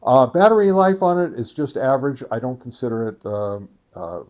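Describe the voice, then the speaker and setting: A man's voice: medium pitch, big pitch swings, moderate pace, a little mellow. A man giving a casual gear review as a hobbyist reviewer, explaining things in a plain-spoken, folksy way. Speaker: amateur radio gear reviewer. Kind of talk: casual product review talk.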